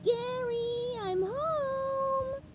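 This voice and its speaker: A woman voicing a frantic, cheerful young male sea sponge, yelling in a shrill, excitable voice. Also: extremely slow, heavily acted, low-quality recording.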